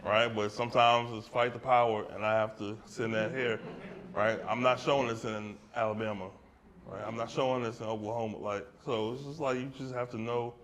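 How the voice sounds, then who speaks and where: A man's voice: medium pitch, steady tone, a little fast, fairly bright tone. A man, an artist, answering audience questions at a gallery in a loose, conversational way, often tagging his points with "right?" and "like". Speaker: artist discussing his exhibition.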